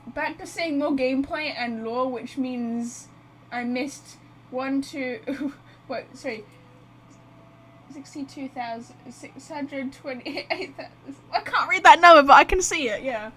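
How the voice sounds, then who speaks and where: High-voiced, excitable woman game streamer. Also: slow, a little hiss or hum, rough recording. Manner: rambling, excitable, blunt. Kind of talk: casual live reaction commentary.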